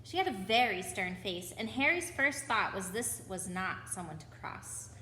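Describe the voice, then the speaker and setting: A woman's voice: medium pitch, lively intonation, normal speed, pushed and bright. A woman reading a children's story aloud, with chatty asides to her young listeners. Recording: echoey room with background noise.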